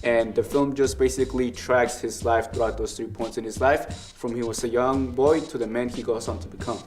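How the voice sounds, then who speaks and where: A man's voice: mid-range voice, fairly even intonation, moderate pace, a little mellow, soft background music. Enthusiastic Mexican-accented young man. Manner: enthusiastic, earnest, analytical. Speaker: film review show host. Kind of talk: casual film review chat.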